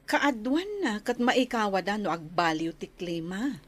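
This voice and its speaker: A woman's voice: mid-range voice, big pitch swings, unhurried. Woman playing a caring Filipino village nurse. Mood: reassuring. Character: caring female visiting nurse, chatty and practical. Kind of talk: radio drama acting.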